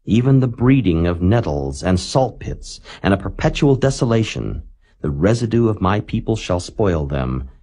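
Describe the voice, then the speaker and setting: Very deep-voiced man reading scripture. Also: big pitch swings, moderate pace, warm tone. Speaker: Bible narrator.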